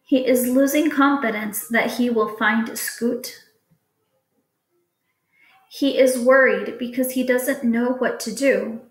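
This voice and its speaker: A woman teacher reading test questions aloud to students in a deliberate, instructional way. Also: on the high side, fairly even intonation. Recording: echoey room.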